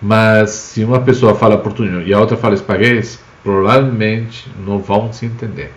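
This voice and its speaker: A man's voice: on the low side, fairly even intonation, normal speed, echoey room. A man from Spain, a native Spanish speaker with a Spanish accent, explains words with examples in an informal live language lesson as the teacher. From Spain, Basque Country.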